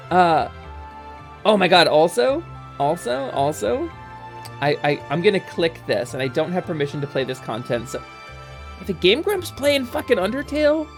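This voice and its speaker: Lively, chatty woman streamer. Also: on the low side, measured pace, long pauses, faint music behind. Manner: chatty, excitable, swears freely, frequent fillers and restarts. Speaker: game streamer. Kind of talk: casual chat while streaming a game.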